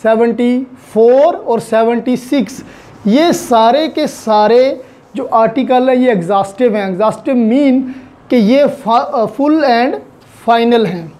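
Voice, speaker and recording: A woman's voice: on the high side, slow pace, warm tone. A woman with a Pakistani accent giving an explanatory law lecture in a didactic way, mixing in English technical terms. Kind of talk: explanatory online lecture with everyday examples.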